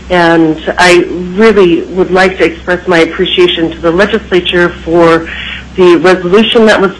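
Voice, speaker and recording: A woman's voice: lowish voice, poor audio. Stuffy-nosed woman giving formal testimony. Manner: measured, frequent "uh" pauses while listing names. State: stuffy nose from a sinus infection. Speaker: corporate executive testifying to a legislature.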